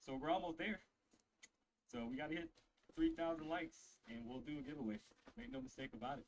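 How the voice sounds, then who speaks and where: A man's voice: a little high, lively intonation, a little fast, a little hiss or hum, rough recording. A man in his forties hosting a live trading stream, easygoing as he talks to his viewers. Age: 40s. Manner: easygoing, hyping the chat. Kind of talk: casual livestream chat.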